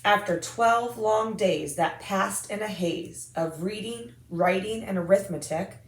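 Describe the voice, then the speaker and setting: An American woman reading a rhyming children's picture book aloud in an expressive, singsong rhythm. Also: medium pitch, unhurried. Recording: echoey room.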